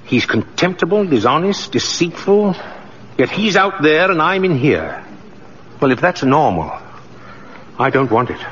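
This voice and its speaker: An older man playing a gentle, principled old Santa Claus figure in a 1940s radio drama, on a muffled old recording. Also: a little high, sing-song, unhurried, pauses often, slight background noise. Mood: wounded, disillusioned.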